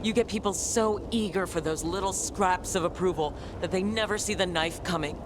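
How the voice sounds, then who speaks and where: A man plays a young game hero, earnest and stung, with a high male voice. The delivery is blunt and emotional, cutscene drama through and through. Ambient rumble is clearly heard behind it. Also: moderate pace, lightly acted. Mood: bitter.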